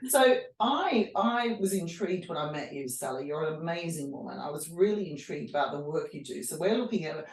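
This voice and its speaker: A woman's voice: sits low, lively intonation, fairly quick, hardly any pauses, a little mellow. A woman hosting a conversational interview in an effusive, complimentary manner. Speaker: host of mental health chat show. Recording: roomy echo, distant from mic.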